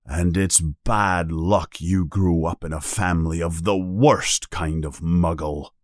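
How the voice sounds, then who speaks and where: A man voicing a big gruff but kind-hearted giant in a storybook reading, growling low and slow. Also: fairly even intonation, dry recording, studio quality. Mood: gruff, troubled.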